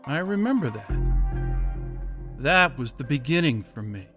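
An actor in an audio drama playing a drugged male captive under brainwashing, with a halting, dazed, suggestible delivery. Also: fairly high pitch, sing-song, music in the background. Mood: awed, compliant.

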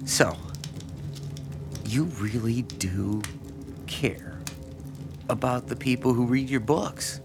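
Creaky-voiced, awkward lonely fan. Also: male voice, mid-range voice, music in the background. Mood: earnest, admiring. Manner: hesitant, halting, deferential. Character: lonely, awkward, starstruck small-town man, devoted fan. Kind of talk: naturalistic audio drama dialogue.

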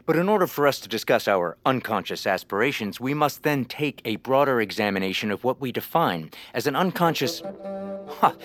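An actor playing a showy, chipper small-town announcer in the style of old-time radio. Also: male voice, mid-range voice, animated, fairly quick, fairly bright tone, dry recording, faint music behind, lightly acted. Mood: mock thoughtful.

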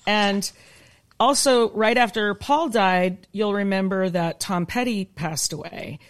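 A woman's voice: mid-range voice, slow pace. A woman in a casual video-call interview, a band member telling a songwriting story and acting out the quoted dialogue.

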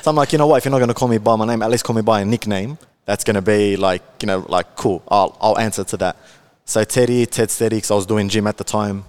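A man's voice: on the low side, quick pace, smooth. A man in his twenties with an Australian accent, chatting casually as a podcast guest, laid-back and full of fillers. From Melbourne, Australia. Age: 20s. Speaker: guest, content creator.

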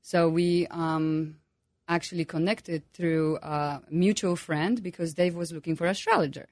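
A woman's voice: lowish voice, steady tone, measured pace, a little mellow, no room sound, studio quality. A woman from Poland with a Polish accent, speaking as an astrologer guest with many hesitations and fillers. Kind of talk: interview guest.

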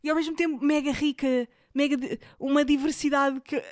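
Portuguese lady podcast host rambling on, lots of filler words, highish voice, dry close sound. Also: fairly even intonation, fairly quick, a little mellow, no room sound. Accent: European Portuguese. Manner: rambling, chatty, full of fillers and English words. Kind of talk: casual chat recommending videos.

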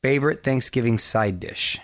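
A man hosting a radio show in a studio, upbeat and quick with promo patter, laughing often with his guest. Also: medium pitch, dry recording. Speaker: radio host. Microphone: studio microphone.

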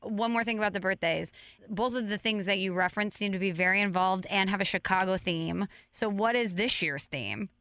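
A woman hosting an interview with a gravelly, deep voice, asking curious, eager questions. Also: fairly quick, a bit bright, dry recording. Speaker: podcast host. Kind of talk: casual interview chat.

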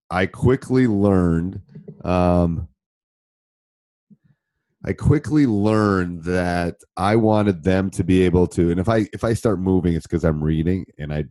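A middle-aged man coaching from experience, his very low voice thickened by a cold. He rambles through listener questions with plenty of fillers and restarts. The sound is dry and close, with no room around it. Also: mellow, no room sound. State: voice deeper than normal from a cold. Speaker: basketball coach and podcast host. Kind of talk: live Q and A, casual answers to listener questions.

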